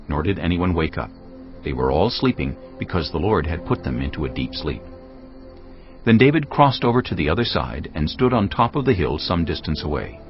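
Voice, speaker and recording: Very deep male narrator, expressive. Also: lo-fi sound. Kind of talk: scripted dramatic scripture narration.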